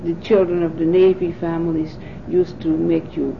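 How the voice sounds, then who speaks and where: A woman in her fifties from the Netherlands, a native Dutch speaker, recalling her life in an oral history interview with thoughtful pauses and fillers. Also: fairly low pitch, level tone, gravelly, background noise. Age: 50s. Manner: thoughtful, pausing with frequent fillers. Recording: dull lo-fi 1980s tape recording.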